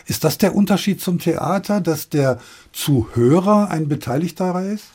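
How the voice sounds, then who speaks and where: A man's voice: a little high, animated, moderate pace, a little mellow, slightly rough. A man hosting a radio interview, putting prepared questions in a composed, articulate way.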